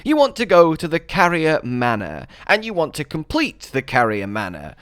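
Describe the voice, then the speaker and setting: Animated, higher-voiced man narrating a game guide. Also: moderate pace, no room sound, studio quality. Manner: emphatic, dramatic phrasing. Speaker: video game guide presenter. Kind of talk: scripted game walkthrough narration.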